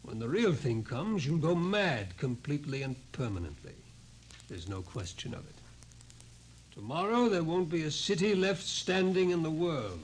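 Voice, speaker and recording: An actor playing a grave, fatalistic scientist doctor, lecturing emphatically with dramatic pauses in 1950s radio drama style. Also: male voice, on the high side, sing-song. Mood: ominous, certain. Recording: faintly noisy old 1950s radio recording.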